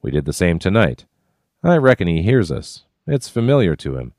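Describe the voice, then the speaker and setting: A man's voice: low-pitched, big pitch swings, moderate pace, a little mellow, no echo, clean studio sound. A man voicing an easygoing wandering prospector who muses wryly. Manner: wry, musing.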